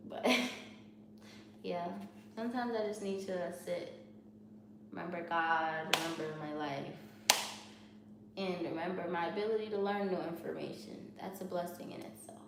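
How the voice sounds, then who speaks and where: A woman's voice: on the low side, moderate pace, pauses often. A woman with a Haitian Creole accent, rambling candidly about her studies in a study vlog and often restarting her sentences. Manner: talks candidly, restarts and repeats herself. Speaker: student vlogger. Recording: very echoey room with noise.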